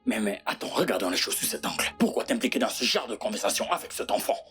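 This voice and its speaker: A woman's voice: extremely low pitch, big pitch swings, fast, faint music behind. A woman dubbing a young man, the eldest brother of the family, who is defensive and pleads insistently. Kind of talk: French dub of a film.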